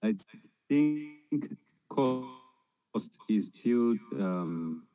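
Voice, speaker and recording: A man answering in an online class, halting and hesitant with fillers. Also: medium pitch, lively intonation, warm tone, dull audio, soft background music. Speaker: student.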